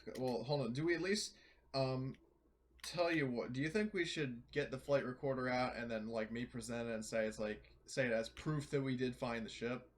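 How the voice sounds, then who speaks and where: A man's voice: mid-range voice, normal speed. A man chatting casually as a tabletop game player, hesitant and often correcting himself.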